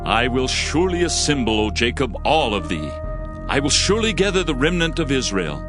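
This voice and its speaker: A man's voice: medium pitch, big pitch swings, a little fast. A man narrating scripture as a dramatized reading, solemn and oratorical in delivery. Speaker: audio Bible narrator. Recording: music behind the voice.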